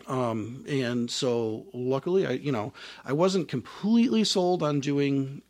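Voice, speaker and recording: A man's voice: unhurried, darkish tone. Rambling man telling his story. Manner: rambling storytelling with many fillers and restarts; says he has a higher voice. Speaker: musician, trumpeter and singer, interview guest. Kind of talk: casual conversational interview.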